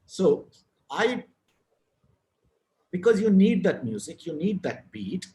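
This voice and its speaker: A man with a South Indian accent talks frankly over a video call, circling back and restarting his sentences and swearing now and then. His voice sits a little high for a man. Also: sing-song, slow pace, warm tone. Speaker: guest, advertising writer and author. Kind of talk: casual conversational interview.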